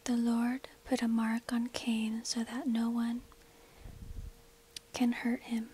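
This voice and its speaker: A woman tells a story in a very breathy, unvoiced whisper. Her delivery is slow and deliberate, with little movement in pitch. She is close to a binaural mic, in a hushed ASMR narrating style. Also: monotone. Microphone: close binaural microphone.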